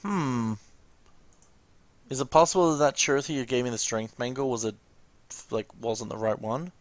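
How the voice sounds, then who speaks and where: A let's play host with a mid-pitched voice talks casually over game music. The delivery is offhand and musing, moving between reading and informal commentary. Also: normal speed. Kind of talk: casual commentary and read-aloud of game cutscenes.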